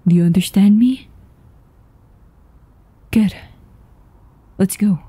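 Lady acting out bossy sweary woman in an audio drama, slow talking, soft mellow tone, urgent. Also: medium pitch. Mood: cold, threatening. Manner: swearing, urgent, breathy sighs and stammers. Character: tense, foul-mouthed, commanding woman with a secret. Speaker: voice actor in an audio roleplay. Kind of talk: dramatic ASMR roleplay acting.

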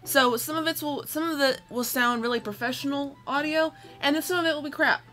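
A young woman with a high, bright voice plays through a game. She talks to herself and her viewers casually, tossing in slang and playful exclamations. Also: fairly quick, soft background music. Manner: animated self-talk, playful exclamations and slang. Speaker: gaming video host. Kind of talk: casual let's play commentary with facecam. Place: small room indoors at home. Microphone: separate mic with phone as backup.

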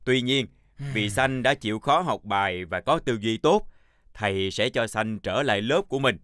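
A man's voice: lowish voice, animated, normal speed, a bit bright, no room sound. A man playing a strict but fair older chalk-stick schoolteacher in a children's cartoon, speaking formally and with authority. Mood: approving, formal. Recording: background music under voice.

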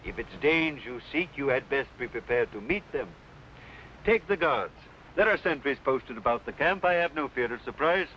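A man's voice: medium pitch, expressive, normal speed, a bit bright, a little hiss or hum, close to an everyday voice, low-quality recording. A middle-aged actor playing a weary, grave Saxon king in an old television costume drama, with solemn, archaic theatrical phrasing. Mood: calm, assured.

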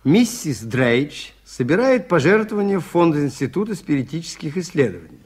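Casting: shrewd, mild priest-detective, slightly high voice, measured and polite, expressive intonation, vintage radio play. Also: male voice, husky. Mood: calm, firm. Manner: measured, polite, deliberate explaining. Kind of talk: 1980s Soviet radio drama acting.

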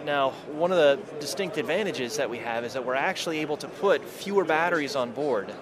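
A man's voice: a little high, lively intonation, brisk. Man explaining tech amid crowd chatter. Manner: explaining with fillers. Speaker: company staff. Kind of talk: explanatory interview. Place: busy trade show hall.

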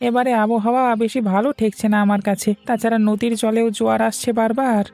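A woman's voice: on the high side, steady tone, fast talker, darkish tone, natural voice. A woman voicing a worried, earnest village farmer man in a rustic cartoon story. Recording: background music bed.

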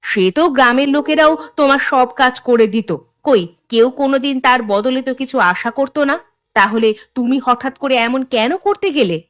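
A woman's voice: sits high, normal speed, dry recording, close to an everyday voice. A woman playing the sensible, reproachful wife of a village headman in a cartoon, firm and admonishing. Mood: reproachful, persuading. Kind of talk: Bengali cartoon voice acting.

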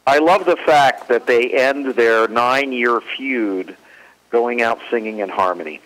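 A man's voice: medium pitch, measured pace, a bit bright, slight background noise, low-quality recording. A man giving informal commentary as a presenter.

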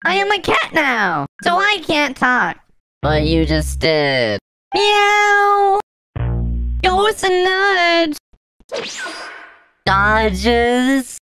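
Woman doing playful cartoon voice-over, high and lively. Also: drawn-out words, pauses often, soft background music. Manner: playful, switching between characters and reading action cues aloud. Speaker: voice-over creator.

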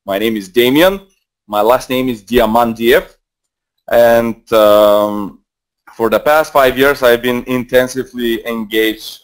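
A Bulgarian man in his twenties with a Bulgarian accent, presenting a live trading webinar in a casual, rambling way full of fillers and restarts, speaking into a headset mic. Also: mid-range voice. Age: 20s. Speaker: trading mentor.

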